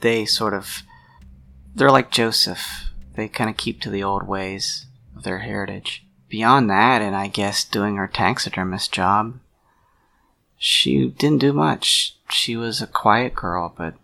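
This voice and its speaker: A man playing a troubled, protective friend of a missing woman in a role-play drama, speaking in a halting, deliberate, sincere way with a gravelly voice. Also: fairly low pitch, sharp tone, full character voice. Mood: earnest, subdued. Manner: halting, deliberate, pausing mid-sentence. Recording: music under the voice.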